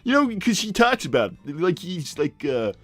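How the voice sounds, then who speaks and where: A man voicing a brash middle-aged hedgehog dad in improvised livestream comedy, rambling and blunt, with halting tangents. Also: a little high, sing-song, dry recording. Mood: rambling, matter-of-fact. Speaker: character host reading viewer messages.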